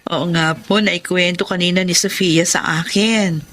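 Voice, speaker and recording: A woman's voice: lowish voice, measured pace. A woman acting a friendly, encouraging schoolteacher, polite in a television drama. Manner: polite, animated, warm encouragement.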